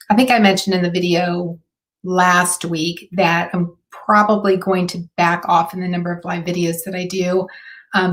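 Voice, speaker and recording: A woman with a hoarse, raspy voice hosts a live marketing stream. Her voice sits fairly low, and she chats along loosely with plenty of fillers. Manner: chatty, with frequent fillers. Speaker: host.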